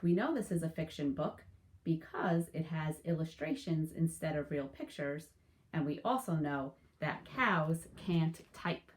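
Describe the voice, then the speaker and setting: A woman reading a picture book aloud to children like a teacher, with deliberate, instructive phrasing. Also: sits low, expressive.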